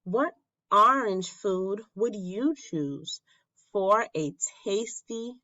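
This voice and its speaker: A woman reading a children's picture book aloud as a teacher, with simple, deliberate phrasing and questions for young listeners. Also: mid-range voice, animated, sharp tone, lo-fi sound.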